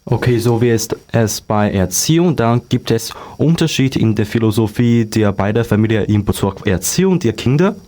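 A man's voice: fairly low pitch, lively intonation, moderate pace, hardly any pauses, dark tone. A man hosting a radio interview with prepared questions. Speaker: radio show host.

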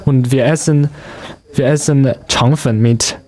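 Young Chinese-accented man, halting delivery. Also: medium pitch, fairly even intonation, a little mellow. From Guangzhou, southern China. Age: young adult. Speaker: radio show guest. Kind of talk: interview answers about everyday culture.

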